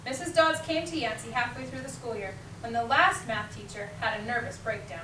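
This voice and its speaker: Female reader's theater narrator, crisp and bright, picked up from a distance with faint noise. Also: a little high, a little fast. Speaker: teacher, narrator. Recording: echoey room, distant mic, faint noise.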